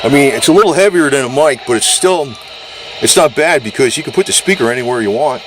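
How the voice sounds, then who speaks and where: A man talks through a hands-on gear demo in a medium-pitched voice. His delivery is loose, rambling and informal, a little salty. The recording is noisy, with radio hiss and outdoor ambience behind him. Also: expressive, fast. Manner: rambling, informal, mildly profane. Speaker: gear reviewer. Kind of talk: casual unscripted product demo. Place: under a makeshift car garage.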